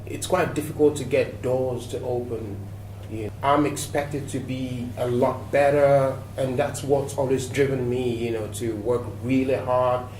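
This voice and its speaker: A man from Nigeria, a guest on a talk show, telling stories in a relaxed, reflective way with many fillers. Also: mid-range voice, measured pace. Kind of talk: interview answers. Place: TV studio. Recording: roomy echo, mic at a distance, faint noise.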